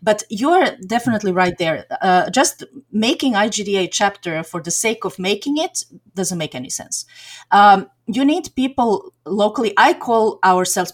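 A middle-aged woman originally from Serbia, answering in a casual interview as a game community organizer, talking in a chatty way with many fillers and restarts. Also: medium pitch.

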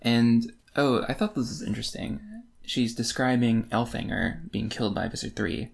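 A man's voice: mid-range voice, normal speed, creaky, slightly rough. A man co-hosting a podcast, recapping and discussing a book casually with a wry, playful manner. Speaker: podcast co-host.

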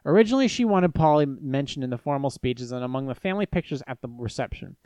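A man's voice: medium pitch, lively intonation, fast talker, darkish tone, no room sound. A young man reading listener letters aloud and giving blunt, irreverent advice. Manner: blunt, irreverent and swearing, with many restarts. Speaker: advice show host.

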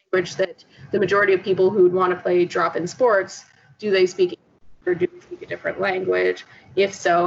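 A woman with a Canadian accent and a slightly low voice talks as an interview guest. She is chatty and explanatory, in casual conversation. The recording is dull and has some background noise. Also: vocal fry. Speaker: interview guest, startup founder.

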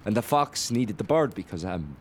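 A man playing a halting, grieving drunk son. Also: fairly low pitch, sing-song, slight background noise. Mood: wistful. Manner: halting, rambling, then erupting in anger.

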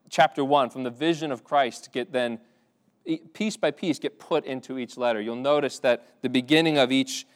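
Smooth-voiced pastor, relaxed and halting. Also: male voice, medium pitch. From Ireland. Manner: conversational, many restarts and fillers. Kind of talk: sermon. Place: church sanctuary.

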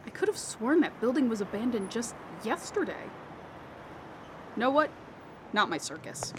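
A woman's voice: on the high side, big pitch swings, normal speed, noisy background. A young woman acting in an audio drama as a skeptical, grieving daughter who mutters to herself in disbelief. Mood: baffled.

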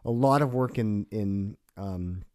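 A man co-hosting a local podcast, upbeat and halting with fillers. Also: fairly low pitch, mellow, smooth, no room sound, studio quality. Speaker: podcast co-host. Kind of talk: casual podcast chat. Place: small podcast studio.

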